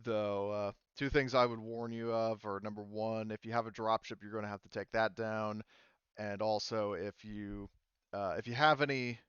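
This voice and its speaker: A man playing a businesslike colony radio operator who gives halting, official warnings in a tabletop game. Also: lowish voice, steady tone, fairly bright tone, clean tone, no room sound, highs cut off, natural voice.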